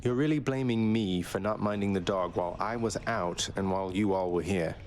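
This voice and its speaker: A man's voice: deep voice, fairly quick, darkish tone, a little hiss or hum, close to an everyday voice. A man playing a polite, wry young underworld prince in a game, dry and understated. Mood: incredulous.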